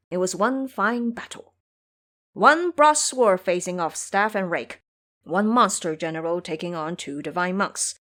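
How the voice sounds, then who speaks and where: A woman's voice: on the low side, a bit bright. A woman narrator telling a story in a dramatic, scripted audio drama style.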